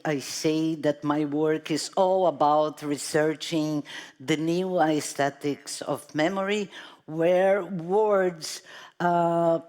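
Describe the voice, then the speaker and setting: A woman's voice: sits low, darkish tone. A woman from Brazil with a Brazilian Portuguese accent, a native Portuguese speaker, speaking thoughtfully as an artist on a conference panel and sometimes searching for words. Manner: thoughtful, searching for words, self-deprecating humor.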